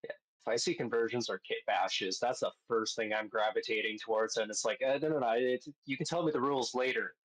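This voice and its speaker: A man's voice: medium pitch, steady tone, brisk, a bit bright, poor audio. A man in casual, informal, sweary conversation as a podcast panelist. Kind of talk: casual chat.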